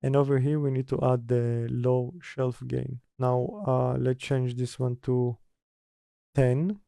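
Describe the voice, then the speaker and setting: Slow, gravelly man talking through software steps. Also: mid-range voice, steady tone, dry recording, studio quality. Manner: hesitant, thinking aloud while working. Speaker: tutorial presenter.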